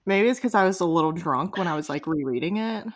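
A woman's voice: on the low side, expressive, fast talker. A woman hosting a casual podcast discussion, informal and jokey in how she talks. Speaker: podcast host.

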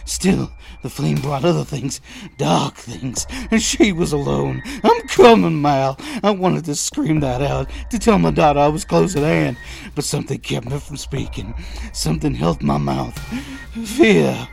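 An actor playing a desperate, protective father in a post-apocalyptic audio drama, narrating tensely and deliberately in the first person. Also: fairly high pitch, lively intonation, vocal fry, over music, exaggerated voice. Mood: urgent, fearful.

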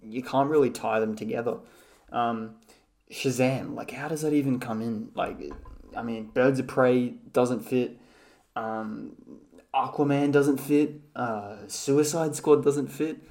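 Man, mid pitch, podcast co-host, casual, hesitant, lots of ums. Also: fairly even intonation, slow, darkish tone. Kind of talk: casual film rewatch chat.

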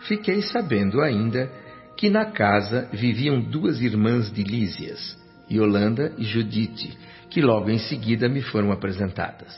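Low, reflective Brazilian man narrating. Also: lively intonation, unhurried. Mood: moved, content. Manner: reflective, earnest. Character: grateful, wonder-struck doctor newly in afterlife, narrating. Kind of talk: radio drama narration. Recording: muffled radio drama with music.

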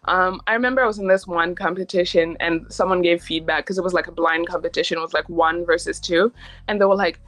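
A woman's voice: lowish voice, fairly even intonation, fast, fairly bright tone. A young woman, a recording artist, chatting casually in an interview and filling her talk with "like" and easy laughs. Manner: chatty, lots of "like" fillers, laughs easily.

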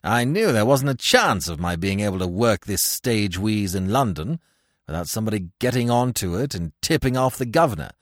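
A man's voice: on the low side, expressive, moderate pace, dry recording, clean studio sound, voice pushed down, close to an everyday voice. A man voicing a carefree, foppish young upper-class man who giggles and chatters in breezy slang. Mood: chirpy.

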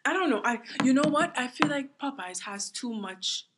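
A woman's voice: sits high, expressive, normal speed, very noisy. A young woman from Trinidad, co-hosting a podcast in laid-back casual chat, laughing often and trailing off mid-thought. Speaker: podcast co-host.

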